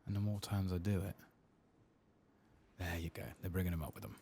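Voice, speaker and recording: A man giving soft-spoken ASMR gameplay commentary, murmuring quiet asides and often slipping into a whisper. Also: low voice, fairly quick, a little hiss or hum. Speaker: host of a gameplay channel.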